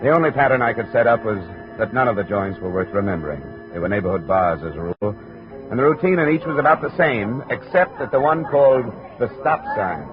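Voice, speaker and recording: A man's voice: medium pitch, expressive, fairly quick, close to an everyday voice. Guy acting old radio detective, american, dry matter-of-fact, muffled tinny sound w music behind. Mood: dry, businesslike. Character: dry, businesslike male private investigator narrating his case. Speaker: lead actor and narrator. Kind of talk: 1950s radio detective acting, scripted narration.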